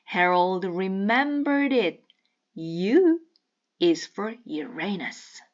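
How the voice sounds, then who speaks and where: A woman reading a children's picture book aloud with deliberate, teacherly enunciation. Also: mid-range voice, sing-song, lo-fi sound. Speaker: storybook reader.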